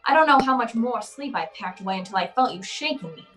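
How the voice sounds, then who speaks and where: A hardboiled private eye acted by a woman in an old-time radio play, her voice on the high side. She is tough, wisecracking and unflappable, firing off clipped slang with faint music behind her. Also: lively intonation, quick pace, a bit bright. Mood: wry narrating. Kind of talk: old-time radio detective drama acting.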